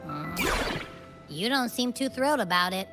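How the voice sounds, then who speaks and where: A woman voicing a small, blunt, excitable creature companion in a video game, brash and whiny. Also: mid-range voice, normal speed, music in the background, close to an everyday voice. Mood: casual, blunt.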